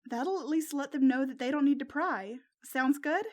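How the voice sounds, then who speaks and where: A woman voicing a loyal, excitable teenage girl who gushes like a superhero fan in a narrated story. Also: high-pitched, moderate pace, lightly acted. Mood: reassuring. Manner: gushing, squealing when excited.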